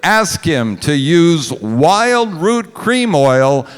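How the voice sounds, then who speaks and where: A man delivering a scripted commercial as a 1940s radio announcer, with emphatic, measured salesmanship. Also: on the high side, sing-song, clean tone. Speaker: radio announcer reading the sponsor spot. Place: on stage in a hall. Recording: reverberant hall with music.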